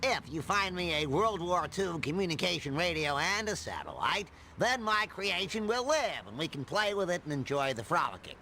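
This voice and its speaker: A man voicing an eccentric inventor in an animated game, rambling nerdily with invented words. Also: sits high, normal speed, hardly any pauses, slight background noise, exaggerated voice. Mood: eager. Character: eccentric excitable cartoon scientist inventor. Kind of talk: animated game cutscene acting.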